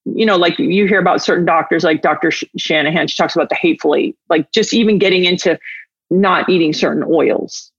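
A woman's voice: on the low side, fairly quick, breathy edge, dry recording, highs cut off. A woman hosting an interview in a casual, informal way, with meandering phrasing. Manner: informal, meandering, acts out imagined dialogue. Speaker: interviewer, podcast host.

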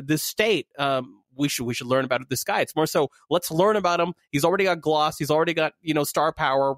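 A man with a somewhat high voice co-hosts a political chat. He jumps in eagerly and keeps pushing his point with one question after another. The sound is close and dry, with no room around it. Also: no echo. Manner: animated, pressing with repeated questions. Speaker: co-host.

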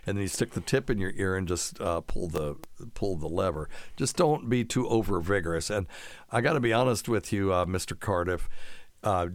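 A man's voice: sits low, no room sound. A man hosting a casual live show, answering listener questions in a folksy, rambling way and explaining medical terms plainly.

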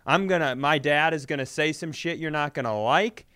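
A man's voice: a little high, moderate pace, bright, forceful tone, clear, resonant tone, no echo. A man in casual comedic chat, telling stories in a profane, exasperated way. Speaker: comedy podcast host.

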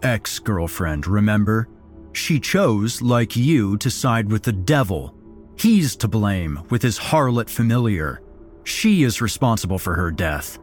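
A man's voice: on the low side, very animated, unhurried, faint music behind. A man voicing a smug, devious young male cultist who speaks with cold self-righteousness.

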